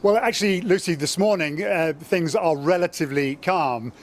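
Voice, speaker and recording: A man, a diplomatic correspondent, giving a live news report in a conversational, measured way with some fillers. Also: on the high side. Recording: faint background noise.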